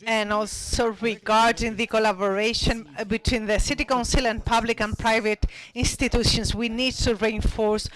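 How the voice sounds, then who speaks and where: A panel speaker at a conference, presenting study findings in a slow, halting way with frequent restarts. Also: medium pitch, little pitch movement, fairly bright tone, rough recording.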